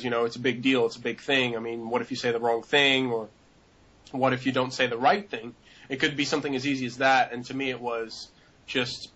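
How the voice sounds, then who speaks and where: A man's voice: mid-range voice, a bit bright. Rambling young man, dull lo-fi audio. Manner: rambling, many fillers and restarts. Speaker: interviewee. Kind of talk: casual interview answers.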